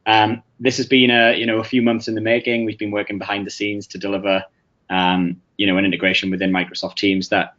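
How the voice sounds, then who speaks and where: Lowish, muffled man, filler-heavy webinar talk. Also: flat delivery, fairly quick, a bit bright. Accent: Northeast English. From England. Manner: hesitant, many fillers and restarts. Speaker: webinar presenter. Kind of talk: webinar presentation. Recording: muffled lo-fi audio.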